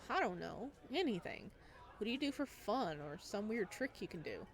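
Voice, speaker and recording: A woman's voice: medium pitch, sing-song, normal speed. Visual novel love interest, played by a woman: young man, playful, sassy, teasing in conversation. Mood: casual, curious. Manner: teasing banter.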